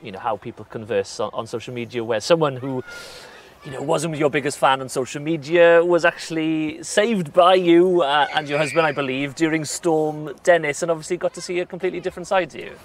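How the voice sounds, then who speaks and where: Male co-host, relaxed questioning, outdoors with faint background noise. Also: on the high side, fairly quick. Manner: conversational, hedging with "sort of". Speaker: podcast co-host. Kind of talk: interviewer questions. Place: outdoors at an agricultural show.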